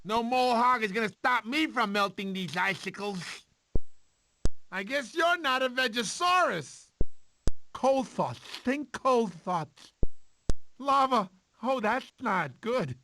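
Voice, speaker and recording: Bright, goofy, nervous cartoon animal. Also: male voice, very high voice, expressive, slow, a little hiss or hum, heavily acted. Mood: nervous, joking. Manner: jittery, wisecracking. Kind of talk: short video game voice clips.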